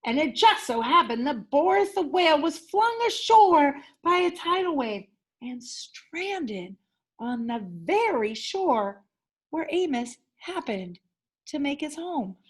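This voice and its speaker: A woman's voice: sits high, sing-song, takes it slowly. A woman teacher reading a children's story aloud expressively, leaning dramatically on key words.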